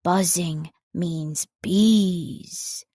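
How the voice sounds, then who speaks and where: A woman voicing a gentle, simple-minded, honey-loving male toy bear in a children's storybook. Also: fairly low pitch, drawn-out words, breathy edge, no room sound, studio quality, exaggerated voice. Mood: musing.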